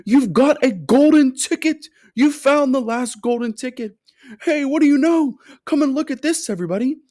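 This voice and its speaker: A man voicing an excitable, kindly fat male shopkeeper who shouts with delight, in a storybook reading. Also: medium pitch, normal speed, no room sound, studio quality. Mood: ecstatic shouting.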